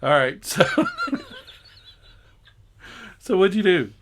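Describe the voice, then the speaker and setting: A man putting on a folksy, incredulous news reporter in a comedy skit. The delivery swings up and down in pitch, teasing and skeptical, over a clearly noisy home recording. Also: huge pitch swings, takes it slowly, exaggerated voice. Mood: incredulous, amused. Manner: teasing, skeptical, breaks into laughter. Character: female TV news reporter Mary McBigbottom, folksy, incredulous, jokey. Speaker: interviewer. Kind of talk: improvised mock news interview.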